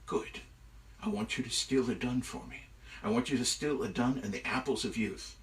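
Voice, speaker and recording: A man telling a myth aloud, voicing a huge, menacing shapeshifting giant who speaks slowly and makes demands. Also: mid-range voice, distant mic, close to an everyday voice. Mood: satisfied. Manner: slow, deliberate, demanding. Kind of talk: live oral myth storytelling.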